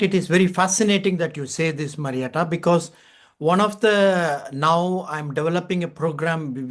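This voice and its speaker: Higher-voiced man hosting an interview. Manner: wordy, reflective, meandering questions. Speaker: interview host. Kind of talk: conversational interviewing.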